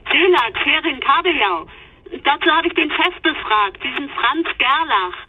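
Brisk woman on tinny phone. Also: sits high, expressive. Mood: impatient. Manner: curt, impatient, informative. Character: brisk, businesslike female investigator colleague. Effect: telephone filter. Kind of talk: radio drama dialogue. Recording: tinny bad phone line.

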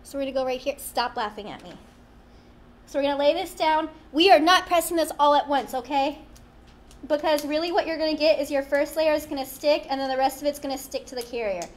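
A young woman hosting a live craft demo in a small studio, chatty and playful, with a high, girlish voice. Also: brisk. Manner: chatty, playful, self-teasing. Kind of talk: live-streamed product demo. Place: small studio with branded backdrop, indoors.

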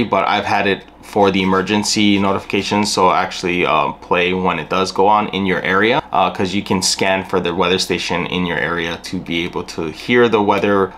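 A man's voice: deep voice, fairly bright tone, slight background noise. A middle-aged man giving a casual, plainspoken product review to camera, explaining things as he goes in a small room at home. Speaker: gear reviewer.

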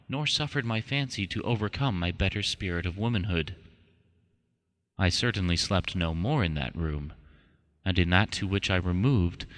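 A man narrates a ghost story audiobook in a fairly low voice. His delivery is measured, formal and deliberate. A long reverb trails behind his words. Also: a bit bright.

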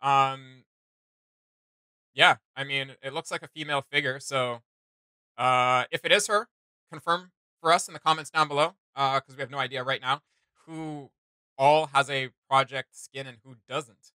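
A man in his thirties hosts a casual reaction video. His voice is mid-pitched and bright, recorded dry. He talks in a rambling, slangy way with plenty of emphasis. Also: fairly even intonation, moderate pace, clean tone, dry recording. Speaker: reaction channel host. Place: small indoor home studio room.

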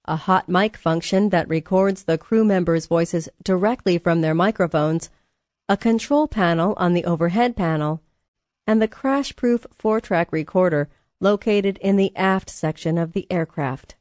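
Woman reading a training course script, kinda low voice, measured and formal, no room echo. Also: darkish tone, studio quality. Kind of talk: scripted instructional narration.

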